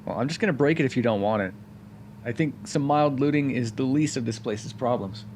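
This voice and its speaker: A man's voice: medium pitch, brisk, darkish tone, slight background noise, close to an everyday voice. A man voicing a sarcastic, foul-mouthed vampire who is grudgingly helpful and hungry, speaking intimately to the listener in an audio roleplay. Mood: dry, grumbling. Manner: sarcastic, wry, exasperated, conversational. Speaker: roleplay voice actor.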